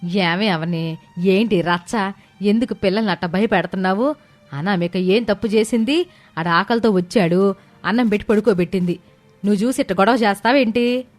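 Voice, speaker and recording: A woman's voice: fairly low pitch, normal speed, darkish tone, soft background music, natural voice. A woman voicing an indignant old village mother-in-law in a family story, chiding in a blunt, rustic way. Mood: scolding. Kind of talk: dramatized Telugu family story acting.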